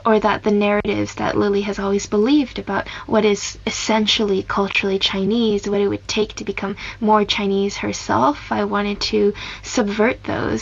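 A woman's voice: medium pitch, gravelly, lo-fi sound. A woman in her late twenties, a novelist giving thoughtful, articulate answers in a radio interview. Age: 20s. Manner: thoughtful, articulate, some fillers. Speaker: novelist guest.

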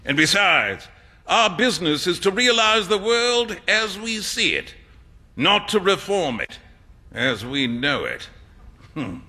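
A man's voice: sits high, pushed and bright, voice pushed up, full character voice. A man voicing a flamboyant, worldly English painter in a storybook, teasing and exuberant in a theatrical manner. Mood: airy, teasing. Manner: theatrical, teasing, exuberant, slipping into French phrases. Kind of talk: acted storybook dialogue. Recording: echoey hall with long reverb.

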